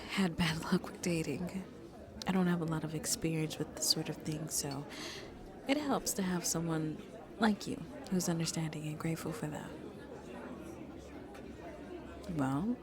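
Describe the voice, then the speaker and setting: A woman playing a shy, sweet vampire on a first date in an ASMR roleplay, soft-spoken and hesitant, often dropping to a whisper. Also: lowish voice, close to an everyday voice. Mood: earnest, wistful. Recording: crowd chatter in the background. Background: crowd chatter.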